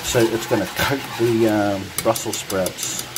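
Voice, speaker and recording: A man casually narrating his home cooking, relaxed and chatty with frequent ums, filmed on a phone over the stove. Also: on the low side, measured pace. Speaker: home cook vlogger. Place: home kitchen. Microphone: phone camera held over the stove. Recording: noisy home kitchen phone recording. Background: sizzling and bubbling pots.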